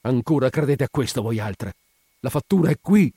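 A man's voice: mid-range voice, steady tone, moderate pace, warm tone, no room sound, clean studio sound, close to an everyday voice. A man voicing an anguished, fiery married woman in a story, pleading and bitter with remorse. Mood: angry. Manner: pleading, impassioned, vehement outbursts. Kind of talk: dramatic audiobook character reading.

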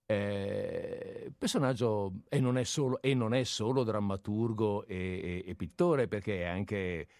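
A man's voice: fairly low pitch, measured pace, hardly any pauses, darkish tone, dry recording, clean studio sound. A man co-hosting a radio programme in a casual, playful way, with a hesitant, wry and mock-formal manner. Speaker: radio show co-host.

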